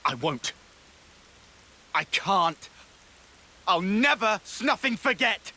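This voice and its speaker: A man's voice: sits high, big pitch swings, takes it slowly, pushed and bright, full character voice. A man playing a hot-headed, grieving young male soldier in a video game cutscene. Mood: angry, defiant.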